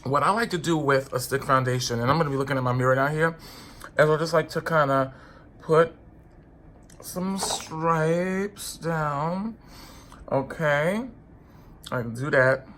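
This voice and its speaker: A man's voice: fairly high pitch, unhurried, long pauses, fairly bright tone, a little hiss or hum. A man with a stuffy, congested voice, chatting casually and sassily to camera as a beauty vlogger. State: congested with a cold. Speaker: beauty vlogger reviewing foundation.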